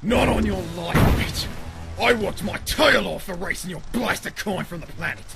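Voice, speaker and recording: A man's voice: sits high, expressive, moderate pace, creaky. A man voicing a vengeful, bitter Tasmanian devil, menacing and self-righteous, in a comic dub. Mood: angry. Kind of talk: amateur comic dub voice acting. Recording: music loud behind the voice.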